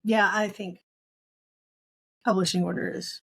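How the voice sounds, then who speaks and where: A woman's voice: mid-range voice, fairly even intonation, unhurried, creaky, a bit bright, breathy edge, no room sound. A woman co-hosting a podcast in a casual book discussion, speaking in an opinionated, playfully emphatic way.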